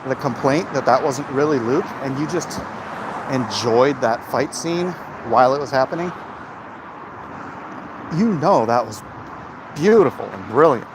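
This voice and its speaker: A man chatting casually and giving opinions in a halting way, as if hosting a livestream. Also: mid-range voice, animated, noisy background. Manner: halting, frequent throat clearing. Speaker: livestream host answering viewer comments.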